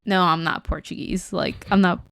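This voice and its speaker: A woman's voice: lowish voice, steady tone, moderate pace, fairly bright tone, clean tone, dry recording, studio quality. A woman from Guatemala co-hosting a podcast in casual, chatty, informal conversation. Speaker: podcast co-host. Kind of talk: casual unscripted podcast chat.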